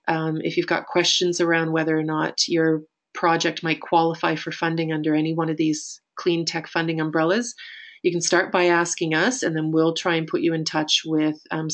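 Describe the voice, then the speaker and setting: A woman executive director with a raspy voice and a Canadian accent, presenting a webinar in a steady, informal way with many pauses to think. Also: low voice.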